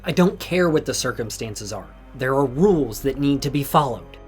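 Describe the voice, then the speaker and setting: A man playing a domineering, displeased master in an audio drama, deliberate, imperious and reproving. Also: mid-range voice, animated, a little mellow, lightly acted. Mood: stern, displeased. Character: stern, displeased, domineering master with power over the arena. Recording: music bed under the voice.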